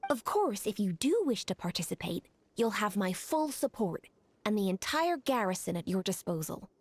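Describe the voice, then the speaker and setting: A woman playing a composed, dutiful military commander in a video game, speaking in a formal, measured, businesslike way. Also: medium pitch, sing-song, slight background noise. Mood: composed, earnest. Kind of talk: video game character acting.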